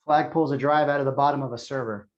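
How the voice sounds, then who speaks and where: A man's voice: medium pitch, fairly even intonation, fast talker, highs cut off. A man reading out the action descriptions of a screenplay as narrator.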